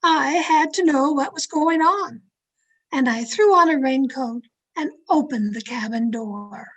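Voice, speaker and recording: A woman's voice: high-pitched, unhurried, rough recording. A woman playing a thrill-seeking young woman who narrates her murder tale with dramatic, breathless intensity in old radio suspense style. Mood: excited, suspenseful. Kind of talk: 1940s radio suspense acting, scripted first-person narration. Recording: video-call radio play with music underneath. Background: dramatic music.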